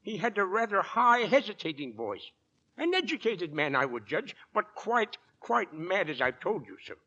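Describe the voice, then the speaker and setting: An actor playing an excitable Victorian witness at an inquest, earnest, deferential yet insistent, in 1940s radio style. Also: male voice, high voice, normal speed, exaggerated voice. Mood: agitated. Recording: dull vintage radio recording.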